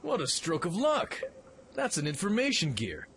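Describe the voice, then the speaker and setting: A man's voice: high-pitched, wildly swooping pitch, moderate pace, a bit bright, slight background noise, heavily acted. A man playing a cocky, self-reliant young mech pilot in a radio play, with a brash, swaggering delivery. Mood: curious, eager.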